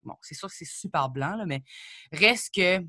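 Bright, chatty Acadian woman. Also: fairly low pitch, dry recording, studio quality. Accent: Acadian French. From New Brunswick, Canada. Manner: chatty, animated, quick asides. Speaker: comedian and rapper, guest. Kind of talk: casual interview chat.

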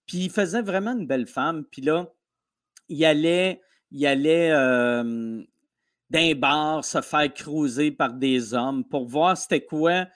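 Animated Québécois comedian man. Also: fairly high pitch. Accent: Québécois French. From Quebec. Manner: animated storytelling, fast with restarts. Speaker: comedian guest. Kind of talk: casual podcast interview.

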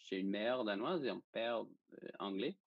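Interview guest: young man, Danish accent, slow hesitant delivery, slightly muffled lo-fi sound. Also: fairly low pitch, no room sound. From Denmark. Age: young adult. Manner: halting, searching for words in a second language. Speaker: interview guest, journalism student. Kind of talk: casual interview.

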